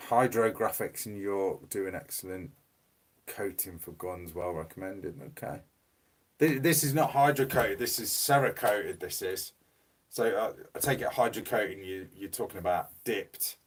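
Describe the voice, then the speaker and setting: Male streamer, fairly low voice, hoarse and fading, loose rambling phone-mic chat. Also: normal speed. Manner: rambling, repeats words. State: losing his voice, coughing. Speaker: livestream host. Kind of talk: casual livestream chat reading comments. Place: small home room. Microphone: mobile phone.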